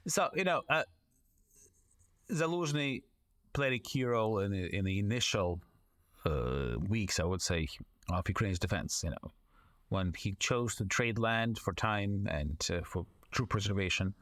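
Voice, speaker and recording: A man with a lowish voice answers interview questions at length, in a measured way. He often leans on "you know" and restarts his sentences mid-thought as he builds up each point. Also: lively intonation, fairly bright tone. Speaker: guest, foreign correspondent and author.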